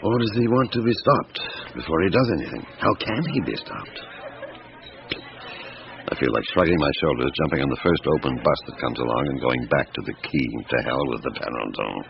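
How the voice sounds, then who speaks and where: Very deep, gravelly police inspector. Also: male voice, animated, fairly quick, dull audio, full character voice. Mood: brooding. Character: brooding weary middle-aged male police chief inspector. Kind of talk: radio drama acting with inner monologue. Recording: noisy band-limited radio broadcast recording.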